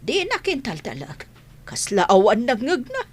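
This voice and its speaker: A woman's voice: fairly high pitch, huge pitch swings, unhurried, a little mellow, slight background noise. A woman playing an exasperated older mother in a radio drama, complaining with an Ilocano accent. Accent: Ilocano Filipino. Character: exasperated older mother complaining about her grown daughter.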